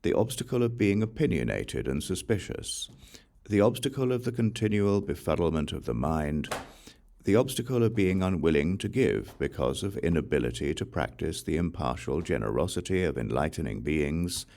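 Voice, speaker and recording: A man with an extremely deep voice reads sacred scripture for an audiobook. There is a pronounced gravelly creak in his tone. His recitation is measured and solemn, yet the intonation rises and falls in a lively way. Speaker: audiobook reader. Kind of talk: scripted reading of Buddhist scripture.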